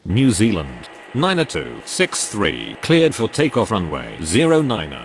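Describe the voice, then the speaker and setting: A man's voice: on the low side, wildly swooping pitch, a little slow, vocal fry, soft background music, full character voice. A man voicing a formal, businesslike airline pilot in a game, using clipped radio phraseology.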